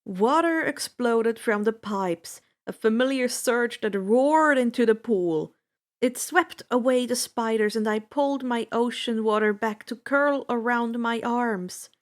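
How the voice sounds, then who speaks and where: High-voiced, unhurried woman reading a story. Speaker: audiobook-style fan fiction reader. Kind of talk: dramatic first-person story narration.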